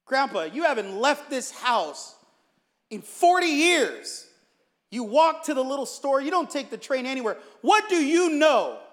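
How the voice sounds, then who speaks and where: A man acts out an upset young grandson in a spoken story. The voice rides high, pleading and exclaiming, with long reverb trailing after it. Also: normal speed, fairly bright tone. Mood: angry venting. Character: frantic, frustrated orphaned schoolboy grandson venting to grandfather.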